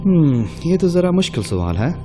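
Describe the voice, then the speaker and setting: An actor playing a polite, thoughtful taxi driver who thinks aloud as a quiz show contestant in a radio play. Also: male voice, fairly high pitch, sing-song, music in the background. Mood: earnest, pondering. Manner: pondering aloud, polite and deliberate.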